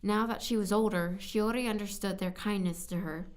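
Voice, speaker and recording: A woman with a medium-pitched voice reads scripted fiction as a narrator. Her delivery is halting, as she works through stumbles and repeats phrases for another take. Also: steady tone. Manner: halting, stumbling over words and repeating takes. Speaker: voice actor recording as narrator. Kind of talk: scripted story narration with retakes.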